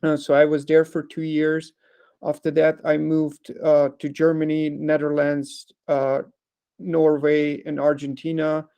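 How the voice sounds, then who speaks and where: A man in his thirties from Hungary, giving an online lecture over a video call, halting with many ums and likes. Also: fairly high pitch, mellow, clear, resonant tone. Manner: hesitant, with frequent ums, uhs and likes. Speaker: guest speaker.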